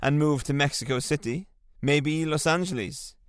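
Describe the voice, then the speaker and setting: A man voicing an eager, pushy businessman pitching big plans in a salesy manner, his voice raised and louder than his own. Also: moderate pace, clear, resonant tone, no room sound, studio quality, natural voice.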